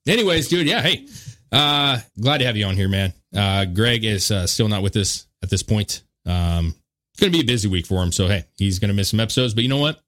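A male podcast host with a fairly low voice. His pitch swings widely as he rambles through casual banter, laid-back and peppered with swearing. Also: expressive, fairly quick, fairly bright tone.

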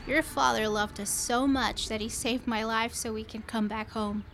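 A woman's voice: fairly high pitch, steady tone, moderate pace, a bit bright, faint music behind. A woman playing a starship captain and mother in an audio drama, telling stories in a plainspoken way. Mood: wistful storytelling. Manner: plainspoken, storytelling to a child.

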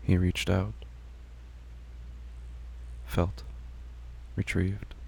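A man narrating a scripted horror audio drama in terse, grave, deliberate phrases with long pauses. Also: low voice, completely flat delivery, gravelly, a little mellow, breathy edge.

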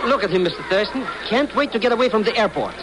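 An excitable, high-pitched shady little informer. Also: male voice, fast talker, a loud crowd. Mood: flustered. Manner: blustering, stammering, wheedling. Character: excitable, boastful small-time informer, a comic shady sidekick. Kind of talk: 1950s radio acting. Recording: noisy old 1950s radio recording.

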